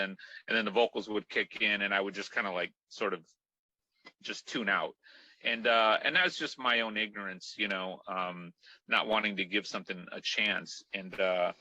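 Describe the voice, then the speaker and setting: Man with a sharp, bright voice. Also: fairly low pitch, dry recording, low-quality recording. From Mexico. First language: Spanish. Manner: rambling, many fillers and restarts. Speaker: guest musician. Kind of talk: casual interview chat.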